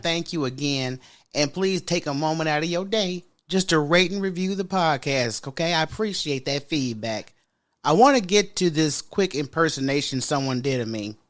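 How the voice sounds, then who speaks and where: A woman with a deep voice hosting a podcast in casual solo chat, frank and sassy, talking straight to her listeners. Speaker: podcast host.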